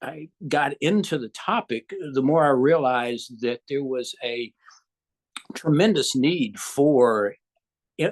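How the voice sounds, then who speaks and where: A man in his sixties with a Southern US accent, a physician answering interview questions in a measured, thoughtful way with frequent pauses. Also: medium pitch. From Southern United States. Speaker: physician guest.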